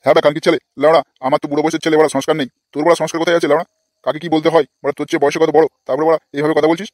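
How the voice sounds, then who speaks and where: A man's voice: a little high, little pitch movement, rapid-fire, a little mellow, no room sound, clean studio sound, lightly acted. A man voicing an exasperated, foul-mouthed older village father in a comic cartoon, scolding crudely. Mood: indignant.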